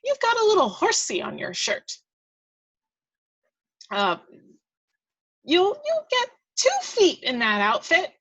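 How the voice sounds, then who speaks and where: A woman's voice: extremely high pitch, wildly swooping pitch, slow, long pauses, a bit bright, lo-fi sound, voice pushed up, full character voice. A woman voicing a bossy, exasperated tiny fairy, scolding in emphatic bursts at an amateur table read. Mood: scornful.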